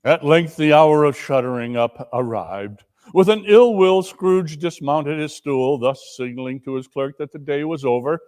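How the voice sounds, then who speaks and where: A man narrating a classic story in a deliberate, suspenseful dramatic reading. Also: fairly high pitch, a little mellow. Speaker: narrator and performer.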